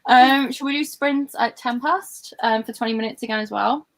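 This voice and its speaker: Scouse woman hosting a relaxed chat; warm giggles, filler-heavy talk. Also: a little high, moderate pace. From Liverpool, England. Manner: chatty, giggly, many ums. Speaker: host. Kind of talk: casual livestream chat.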